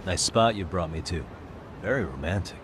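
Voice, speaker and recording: An actor playing a dogged, righteous private detective in a video game dub, wry and challenging. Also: male voice, low voice, expressive, normal speed, background noise.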